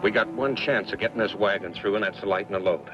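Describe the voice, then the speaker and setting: A man's voice: lowish voice, fast, close to an everyday voice. A man acting as a stern wagon leader. He is terse and authoritative, in radio western style. The recording is dull, with music behind. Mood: grim. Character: stern, practical wagon leader giving orders.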